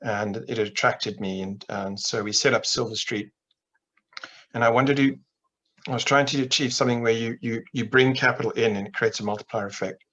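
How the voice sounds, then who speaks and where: A middle-aged man from Zimbabwe on a video call, explaining his work in a measured way with frequent restarts. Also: medium pitch, a bit bright, low-quality recording. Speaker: investment manager guest. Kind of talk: interview answers on a video call. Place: home office with plain wall. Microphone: webcam on video call.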